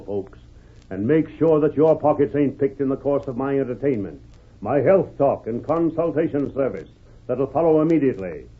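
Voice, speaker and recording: An actor playing a sly, folksy medicine-show huckster with bombastic, drawling patter in an old radio western. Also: male voice, fairly high pitch, breathy edge. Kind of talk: 1940s radio western acting. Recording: old tinny narrow-band radio recording.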